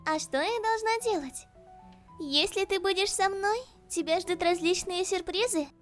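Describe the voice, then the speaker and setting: A woman's voice: very high voice, normal speed, fairly bright tone. A woman voicing a cute, clingy teenage girl in a visual novel, coy and girlish, with music underneath.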